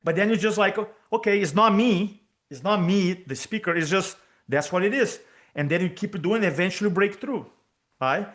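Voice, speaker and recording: Brazilian-accented man, energetic speaking coach. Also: high-pitched, moderate pace. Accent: Brazilian Portuguese. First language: Portuguese. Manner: energetic, emphatic, repeats key phrases. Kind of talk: interview guest on video call. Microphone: video call.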